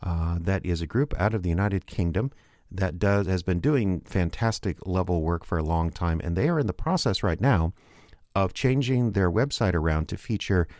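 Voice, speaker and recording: Deep-voiced man rambling through sponsor plugs. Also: moderate pace, darkish tone, dry recording, studio quality. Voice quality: rambling, with frequent fillers. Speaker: show producer and host. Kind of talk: casual promotional chat.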